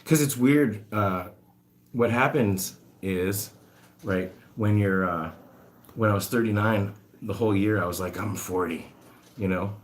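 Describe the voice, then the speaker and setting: Rambling man in his forties, low voice. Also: a little slow. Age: 40s. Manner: loose, rambling and self-amused, talking while doing chores. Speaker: animal rescuer streaming to viewers. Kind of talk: casual live-stream chat answering viewers. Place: home with pet guinea pigs.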